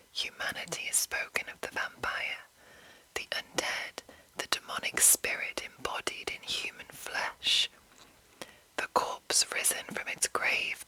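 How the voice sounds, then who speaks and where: A woman whispering in a soft, breathy, unvoiced voice as an ASMR host, rambling gently and reading passages aloud. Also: poor audio. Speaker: ASMR host introducing a roleplaying game.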